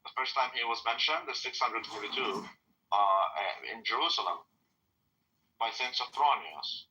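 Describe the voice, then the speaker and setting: A man from Jordan argues in a casual online chat, blunt and sarcastic. His voice is bright and forward, at an ordinary middle pitch. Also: normal speed, lo-fi sound.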